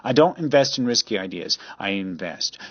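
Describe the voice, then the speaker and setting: A man's voice: lowish voice, animated, normal speed, no echo, lo-fi sound. A man acting as a brusque, dismissive wealthy businessman in an amateur radio drama, lecturing with sarcasm. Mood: irritated, sarcastic.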